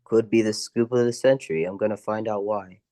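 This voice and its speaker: A man's voice: lowish voice, steady tone, fairly quick, dark tone, close to an everyday voice. A man as an eager newsroom employee. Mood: keen. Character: helpful, keen male newsroom employee. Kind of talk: old-time radio comedy acting.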